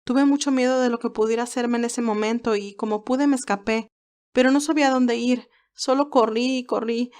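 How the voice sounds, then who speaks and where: A feisty, sweet young nurse from a romantic audio story, acted out by a woman. She speaks in a girlish, expressive way, with plenty of emphasis and feeling. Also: fairly high pitch, moderate pace, pitched above the performer's own voice, close to an everyday voice. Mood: upset, tearful. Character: Candy, sweet, feisty young nurse, easily moved and teasing.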